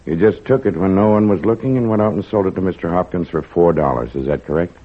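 A stern lawman from a 1940s radio play. The voice is extremely deep and husky, with an official, methodical delivery. It comes through a narrow, muffled old broadcast recording. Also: fast talker. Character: grave, methodical sheriff's officer questioning a boy.

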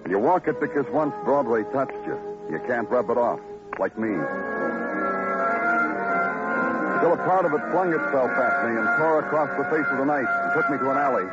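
An actor playing a world-weary, brooding police detective, narrating in a poetic, deadpan way in a noir radio drama. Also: male voice, medium pitch, quick pace. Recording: dull old radio recording, loud music.

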